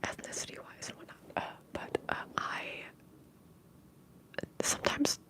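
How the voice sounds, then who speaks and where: A woman with a Minnesotan accent whispers breathily in a rambling, conversational ASMR livestream, chatting to her viewers. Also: unhurried. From Minnesota, US upper Midwest. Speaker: livestream host.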